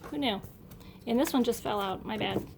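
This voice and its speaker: A woman's voice: on the high side, normal speed, very noisy. A middle-aged woman narrating a casual home unboxing in a chatty, upbeat, enthusiastic way. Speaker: homeschool vlogger. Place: indoor room at home.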